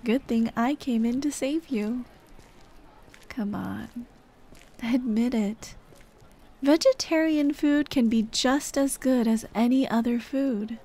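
A woman playing a tender, playful late girlfriend in an ASMR roleplay, speaking in a gentle, coaxing, teasing way. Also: fairly high pitch, takes it slowly, pauses often. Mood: fond, teasing. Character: tender, playful dead girlfriend comforting her grieving partner. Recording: clear ambient noise behind. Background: ambient sound effects.

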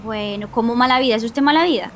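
A woman, her voice slightly high and a little noisy. She talks in character, affectionate and teasing. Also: quick pace, fairly bright tone. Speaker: roleplay player. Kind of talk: in-character roleplay chat.

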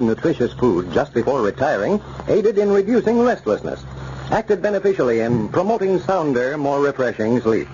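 Man, vintage radio announcer, medium pitch, very lively intonation, polished sales delivery, muffled old recording. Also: slight background noise. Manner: polished, persuasive. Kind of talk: 1930s radio sponsor announcement.

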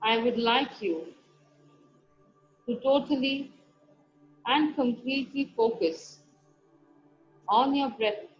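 A woman with a fairly high voice leads a guided meditation, speaking very slowly and softly with long pauses between phrases. The recording is dull and lo-fi, with faint music underneath. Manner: soft, slow instructions with long pauses. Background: soft background music.

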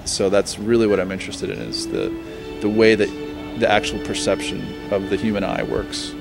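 A man around forty, a painter, talking in a casual, halting and thoughtful interview way about his work. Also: fairly low pitch, very animated, creaky. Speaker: painter and art teacher. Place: busy restaurant during an art reception. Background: music.

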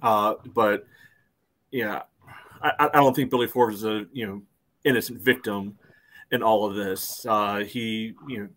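Deeper voiced guy on a sports podcast, casual, says you know constantly. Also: fairly even intonation, measured pace, pauses often, fairly bright tone. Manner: peppers speech with "you know". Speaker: soccer podcast co-host. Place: indoors on a video call.

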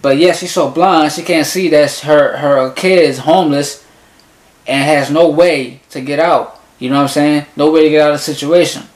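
A young man in his twenties gives animated, slangy commentary as he reacts to a rap video from his bedroom. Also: fairly high pitch, moderate pace. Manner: animated, slangy, talks back to the song. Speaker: music reaction youtuber. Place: small bedroom in front of a closet door. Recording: echoey room.

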